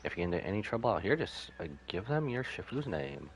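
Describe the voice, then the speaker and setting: A man's voice: fairly low pitch, very animated, quick pace, rough recording. A man reading out the game lines of a stern, knowing, protective female martial arts master. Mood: stern, cautioning. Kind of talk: reading game dialogue aloud.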